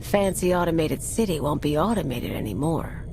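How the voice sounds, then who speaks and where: A woman's voice: low-pitched, sing-song, normal speed, noisy background. An actress playing a brash, cynical female anarchist bomber in a video game cutscene, talking in a clipped, tough, sardonic way. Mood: cocky, brisk.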